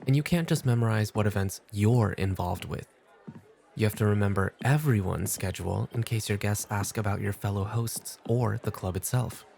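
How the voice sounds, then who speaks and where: A man playing a cool, unhurried club host in an ASMR roleplay, speaking with firm, dry, measured teasing. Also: on the low side, gravelly, darkish tone, slight background noise. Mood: instructive.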